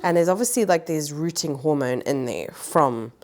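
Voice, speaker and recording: Low-voiced nasal woman chatting casually. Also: expressive, normal speed, a little mellow. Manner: chatty, rambling with fillers. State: recovering from a cold. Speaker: plant vlogger, mother. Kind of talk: casual chat.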